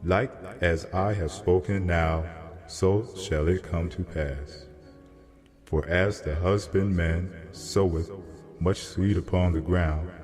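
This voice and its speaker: A man acts out a solemn messenger from heaven in a scripture drama. The voice is very deep and declaims very slowly, with grave weight. Long reverb trails behind each phrase. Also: fairly even intonation, darkish tone, faint music behind. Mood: solemn, measured. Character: solemn male heavenly messenger answering a prophet. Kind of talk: dramatized scripture reading in archaic English.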